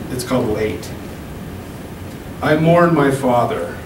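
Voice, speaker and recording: Man reading poems in an echoey room. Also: medium pitch. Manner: slow, deliberate reading with casual asides. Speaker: open mic poet. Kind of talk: poetry reading. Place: small room at a podium. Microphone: handheld mic. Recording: echoey room, camera from far off, hum.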